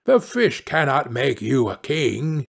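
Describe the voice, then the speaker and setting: A man's voice: medium pitch, sing-song, takes it slowly, creaky, slightly rough, exaggerated voice. A man voicing a meek, worried, henpecked fisherman in a fairy-tale audiobook, with a pleading, plaintive manner.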